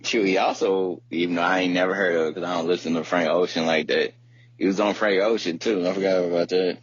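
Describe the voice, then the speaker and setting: A man's voice: sits low, fairly even intonation, quick pace, slightly rough. A man hosting a podcast, talking casually and bluntly about music in slangy, informal speech. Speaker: podcast host. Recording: muffled podcast audio with light hum.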